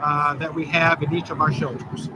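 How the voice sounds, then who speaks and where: A slightly hoarse man hosting an interview outdoors, friendly and rambling, often repeating words. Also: on the high side, little pitch movement, normal speed. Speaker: host. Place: outdoors on a bench by a street. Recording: street noise behind.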